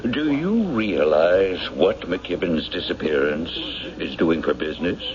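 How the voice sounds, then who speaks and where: A man playing a persuasive small-town banker and civic leader in a radio drama, measured, insinuating and argumentative. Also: sits low, very animated, crowd noise. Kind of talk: old-time radio drama acting. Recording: dull old radio broadcast.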